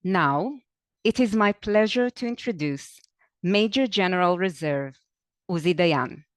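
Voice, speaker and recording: A woman's voice: fairly low pitch, lively intonation, unhurried, dry recording, studio quality. A woman hosting an interview, reading a formal, scripted introduction and then asking questions. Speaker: host.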